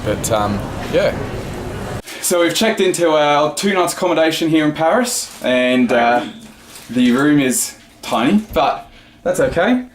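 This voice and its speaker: A man casually narrating his travel vlog into a handheld camera, relaxed and chatty, moving between buses, a ferry and a small room. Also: a little high, a little slow. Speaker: vlogger. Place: on the move: bus, ferry, small hotel room. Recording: busy crowd and noise behind.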